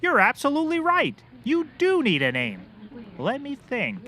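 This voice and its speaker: An actor playing a hapless, overconfident private detective in a scripted comedy, speaking in an eager, self-important, theatrical way. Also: male voice, very high voice, huge pitch swings, moderate pace, crowd noise, heavily acted. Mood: gushing excitement.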